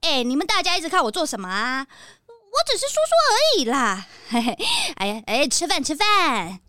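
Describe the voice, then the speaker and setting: A woman's voice: high-pitched, big pitch swings, normal speed, pushed and bright, smooth, heavily acted. A woman playing a greedy, vain young lady in a children's audio drama, with a showy, scheming manner. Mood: flustered.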